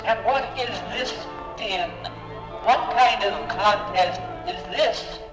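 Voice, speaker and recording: An alien visitor from the galaxies, formal and baffled by human ways. The voice sits a little high and rings in a long, echoing hall. Faint music plays behind it, in the manner of a 1950s radio drama. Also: male voice, takes it slowly.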